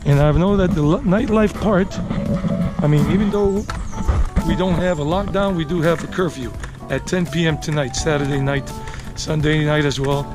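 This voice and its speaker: A man in his late forties hosts a casual walking tour, speaking to his viewers in a slightly high voice. He rambles and thinks aloud as he goes. He is outdoors on a city street with a handheld camera, and music is clearly heard behind him. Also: moderate pace. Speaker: travel vlogger and walking tour host. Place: outdoors, walking a leafy urban residential street.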